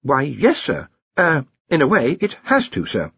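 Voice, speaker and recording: A male reader performs an earnest young wizard scholar. He sounds hesitant and respectful, pitched well above plain narration with jumpy melody, in a dry, slightly muffled storybook recording. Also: huge pitch swings, measured pace, creaky, a bit bright, husky, no room sound, exaggerated voice. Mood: hesitant, eager. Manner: hesitant, deferential.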